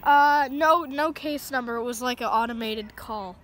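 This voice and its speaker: A woman's voice: high voice, normal speed, a bit bright. A woman prank-calling a scammer, playing dumb and then mocking him. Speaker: prank caller baiting a scammer. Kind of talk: prank phone call. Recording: noisy phone call.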